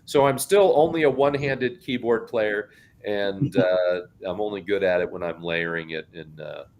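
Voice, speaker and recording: A middle-aged man co-hosting a podcast in casual chat, talking in a big loud voice. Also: lowish voice, moderate pace. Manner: animated, self-described loud talker with a big voice. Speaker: podcast co-host.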